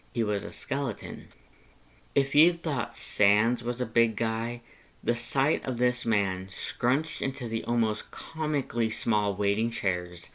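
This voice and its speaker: A man's voice: fairly low pitch, a bit bright, poor audio. A man reading scripted second-person fan fiction as a storyteller.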